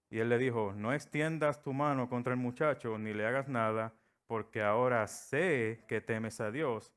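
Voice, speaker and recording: A man's voice: medium pitch, a bit bright, smooth. A middle-aged man from Puerto Rico with a Puerto Rican Spanish accent, preaching a sermon and telling stories, acting out the voices he quotes. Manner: storytelling, acts out quoted voices.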